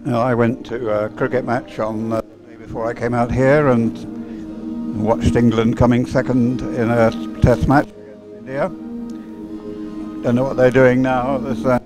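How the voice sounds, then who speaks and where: A man with a medium-pitched voice chats casually as a sports co-commentator. He rambles along in a halting, unscripted way over faint music. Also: level tone, poor audio. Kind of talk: casual live broadcast chat.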